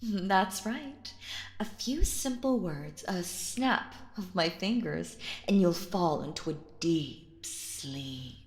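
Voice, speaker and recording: Slow, silky sinister stage magician played by a woman. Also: on the low side, animated, roomy sound. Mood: showy, commanding. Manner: theatrical, slow, silky, addresses listener as dear. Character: sinister, smiling female stage magician, possessive and coaxing. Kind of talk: scripted roleplay audio acting.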